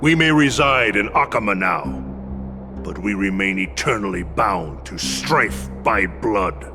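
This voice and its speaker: Stern old warrior for a game, low and scornful, takes his time, music behind. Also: lively intonation, gravelly. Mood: bitter, resigned. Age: older. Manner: slow, weighty, scornful delivery. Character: proud old warrior retainer, stern, bitter, loyal to tradition. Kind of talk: dramatic video game voice acting. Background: loud music.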